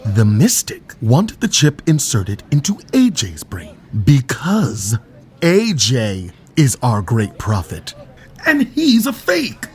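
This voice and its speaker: A man's voice: medium pitch, wildly swooping pitch, slow pace, breathy edge, full character voice. A man playing a dreamy wise fool, a mystic's assistant, in a comedic audio drama. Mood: realising. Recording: loud music under the voice.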